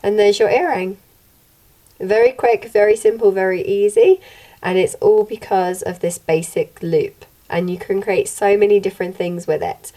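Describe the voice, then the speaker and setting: Slightly croaky woman teaching a craft. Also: medium pitch. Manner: gentle, steady instructional delivery. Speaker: craft channel host. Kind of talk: step-by-step tutorial narration. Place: home room, indoors.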